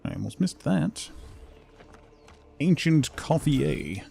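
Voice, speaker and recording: Animated, mellow-voiced man streaming a game. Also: a little high, takes it slowly, vocal fry, slightly rough, soft background music. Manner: musing to himself, thinking aloud. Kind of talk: casual solo gameplay commentary.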